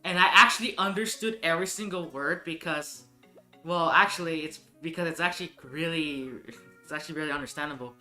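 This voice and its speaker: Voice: medium pitch, a little fast, sharp tone, roomy sound, soft background music. A live streamer chatting casually while gaming, thinking aloud and restarting sentences often.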